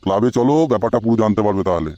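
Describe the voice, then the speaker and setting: Man playing a low-voiced nosy older uncle. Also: rapid-fire, dull audio, noisy background, natural voice. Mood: chatty, inquisitive. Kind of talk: comic cartoon voice acting.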